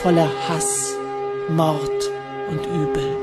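A woman's voice: low-pitched, level tone, takes it slowly, over loud music. A woman narrating a fantasy audio drama prologue in a solemn, scripted style.

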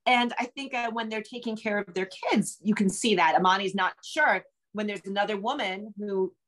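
A woman's voice: medium pitch, normal speed, a bit bright. A middle-aged woman speaking conversationally as an interview guest, a novelist talking from a room at home over a video call.

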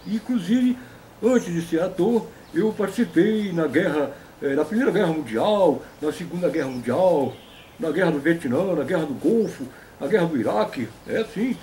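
A man puts on a boastful old grandpa puppet with a very high voice. He is folksy and pompous, lecturing like a veteran of stage and war in a comedy sketch, with faint noise behind. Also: expressive, moderate pace, mellow, natural voice. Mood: boastful, grumbling. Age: older. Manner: pompous, folksy, repeats a stock affirmation. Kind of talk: comic puppet interview.

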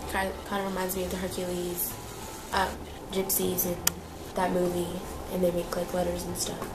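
A woman's voice: on the low side, completely flat delivery, moderate pace, very noisy. A young woman with a slightly nasal voice from a cold, chatting casually as she reviews beauty products in a small room. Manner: chatty, lots of fillers and repeats. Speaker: beauty vlogger.

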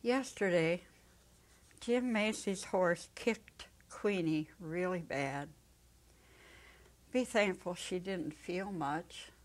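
An elderly woman reading the part of a gentle, devout old woman in a dramatic story, tender and halting. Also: lowish voice. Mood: tender, grieving. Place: small indoor set. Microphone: lapel.

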